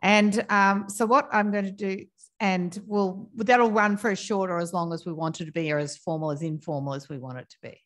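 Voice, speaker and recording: A woman's voice: mid-range voice, steady tone, brisk, a bit bright. A woman chairing a conference session, introducing speakers informally with frequent fillers. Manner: informal, hesitant, many fillers. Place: conference room.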